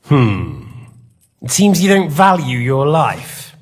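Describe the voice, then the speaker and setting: A man's voice: medium pitch, very animated, slow pace, slightly rough, heavily acted. A villainous nobleman in a dramatic game scene. He taunts with false politeness and smug menace, his mid-range voice swinging expressively as he toys with his captives. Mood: mock-polite. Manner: mocking, theatrical, mock-polite menace. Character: sadistic, smug villainous lord interrogating prisoners.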